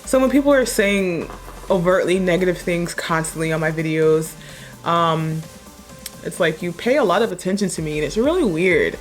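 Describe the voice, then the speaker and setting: A young woman with a deep voice chatting casually as a makeup vlogger, low-key and chill but blunt when she makes a point. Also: expressive, normal speed, soft background music. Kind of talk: casual chat while doing a makeup tutorial.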